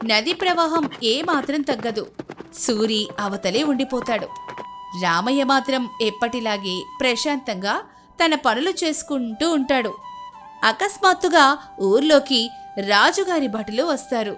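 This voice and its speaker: A woman's voice: sits high, animated, normal speed, over music. A woman reading scripted folk-tale narration as the storyteller.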